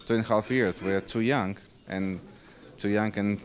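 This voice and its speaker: A man with a fairly low voice and a Russian accent answers questions in halting second-language English. He often stops mid-sentence to search for the next word. He is speaking on a casino floor as its poker director. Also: steady tone, clean tone. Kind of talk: interview answers.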